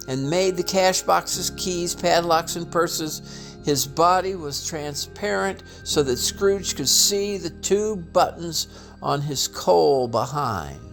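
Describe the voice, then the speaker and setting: A man reading a classic tale aloud as scripted narration, theatrical and deliberate in his delivery. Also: sits high, expressive, gravelly, fairly bright tone, soft background music. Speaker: storybook reader.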